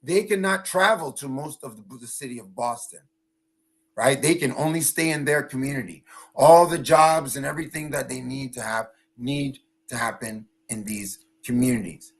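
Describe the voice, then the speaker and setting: Man, mid-range voice, impassioned panel speaker, emphatic, explanatory, tag questions for agreement. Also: medium pitch. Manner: emphatic, explanatory, often checks with "right?". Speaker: panelist, engineer and cultural rights advocate. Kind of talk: impassioned advocacy talk at a virtual panel.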